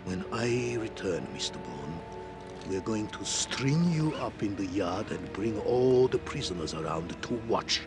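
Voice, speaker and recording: Sadistic crime boss, very slow, swinging pitch, menacing, theatrical, gloating, video game villain. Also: male voice, very animated. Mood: threatening. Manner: menacing, theatrical, savours his threats. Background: music.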